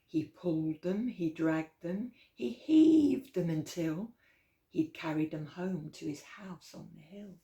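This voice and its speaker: A woman reading a rhyming children's picture book aloud as a storytime host, with expressive, dramatic emphasis. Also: on the low side, slow pace, gravelly. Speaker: library storytime reader. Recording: roomy, echoey indoor sound.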